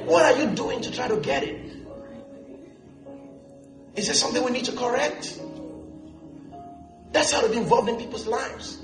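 Pastor, male, high-pitched, lively joking sermon, echoey church with music underneath. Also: normal speed, long pauses. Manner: preaching with asides and laughter.

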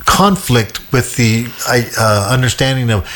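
A man, a pastor, hosting a Sunday church interview, talking haltingly with many fillers and restarts. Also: medium pitch, a bit bright, slight background noise.

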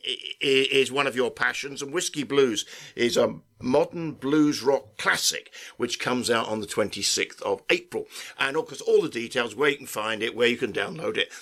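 A man hosting an interview, speaking haltingly with frequent restarts and stammers. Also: mid-range voice, creaky, sharp tone. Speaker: interviewer host.

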